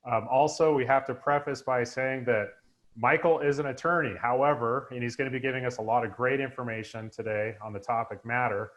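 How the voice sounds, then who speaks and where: A man's voice: mid-range voice, fairly even intonation, fairly quick, a bit bright, rough recording. A man in his forties hosting a live webinar over a video call, upbeat and full of fillers. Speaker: host. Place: home room indoors, video call.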